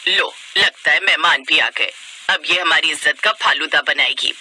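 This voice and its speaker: A woman's voice: low voice, normal speed, pushed and bright, dry recording, voice pushed down, heavily acted, poor audio. A woman voicing a skeptical, exclamatory older mother-in-law in a Hindi cartoon family story, with a grumbling colloquial manner. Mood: suspicious, grumbling. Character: stern, skeptical older mother-in-law who softens into pride.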